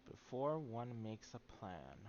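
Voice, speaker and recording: A young man streams a game with casual, slangy commentary and playful asides. His mid-low everyday male pitch comes through slightly dull, lo-fi audio with faint noise behind it. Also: sing-song, slow pace, darkish tone. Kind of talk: casual live gameplay commentary.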